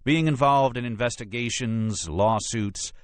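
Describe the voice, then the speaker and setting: A man's voice: mid-range voice, a little slow, no echo, voice pushed up, close to an everyday voice. A man voicing an irritable, self-important museum lawyer in an audiobook, brusque, pompous and dismissive. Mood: firm, impatient. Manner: emphatic, pompous, impatient. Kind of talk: audiobook character dialogue.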